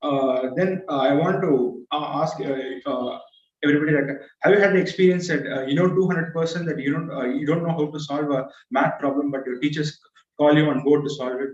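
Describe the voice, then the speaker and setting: A man's voice: fairly high pitch, steady tone, a little fast. Hesitant young man on an echoey call. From India. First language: Tamil. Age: young adult. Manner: hesitant, frequent uh fillers and restarts. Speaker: club member giving first speech. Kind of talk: prepared speech with many fillers. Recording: far-off echoey dull video call audio.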